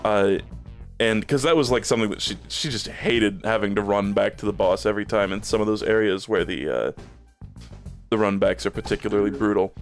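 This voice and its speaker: Low-voiced, slightly phlegmy male streamer. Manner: rambling, swears casually, lots of fillers. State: a little phlegmy. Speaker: game streamer. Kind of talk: casual gaming stream chat.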